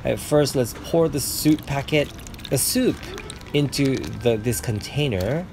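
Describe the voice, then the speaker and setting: A man's voice: medium pitch, slow, creaky, noisy background. A man in his forties narrating a casual vlog, explaining food and his neighborhood to viewers in a chatty way. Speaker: vlogger.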